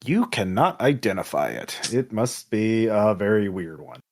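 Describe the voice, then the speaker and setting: Low-voiced man, halting game master. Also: big pitch swings. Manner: halting, thinking aloud. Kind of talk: improvised narration of a tabletop horror game.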